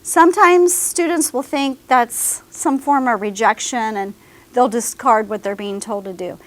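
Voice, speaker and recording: A woman's voice: a little high, unhurried. A woman giving a career services presentation to a class of students, talking to them directly and often checking in with "okay?". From American South.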